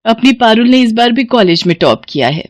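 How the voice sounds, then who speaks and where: A woman's voice: on the high side, lively intonation, a little fast, no echo, lightly acted. A woman voicing an exasperated middle-aged mother in a story. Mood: respectful, earnest. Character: scolding, worn-out middle-aged village mother, respectful to elders.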